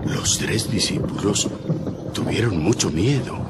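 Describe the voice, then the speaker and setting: A man narrating a dramatized Bible story in a measured, solemn scripted style. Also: lowish voice, expressive, very noisy. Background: prominent music.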